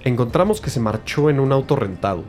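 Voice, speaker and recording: A man's voice: mid-range voice, big pitch swings, brisk, a little mellow, faint music behind, lightly acted. A man playing a matter-of-fact police tech investigator in an audio drama. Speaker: actor in a detective audio drama. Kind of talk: dramatic podcast dialogue.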